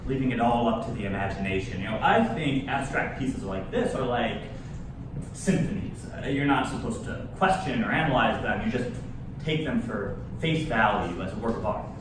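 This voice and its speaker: A casual, opinionated party guest played by a man in a stage reading. His mid-pitched voice moves around in lively fashion, caught from far away in a noisy, echoing hall. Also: normal speed, natural voice.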